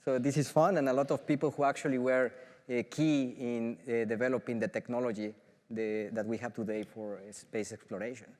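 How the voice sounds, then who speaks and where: A man's voice: mid-range voice, fairly even intonation, brisk, darkish tone. Mexican-accented man in a big hall. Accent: Mexican Spanish. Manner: enthusiastic, filler-heavy with frequent restarts. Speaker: professor. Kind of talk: conference talk. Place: large auditorium.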